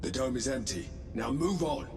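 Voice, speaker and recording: A man's voice: mid-range voice, moderate pace, lightly acted. A man voicing a stern, battle-hardened space marine sergeant in a video game, blunt and clipped. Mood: stern, threatening. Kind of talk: cinematic video game acting. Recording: music over the voice.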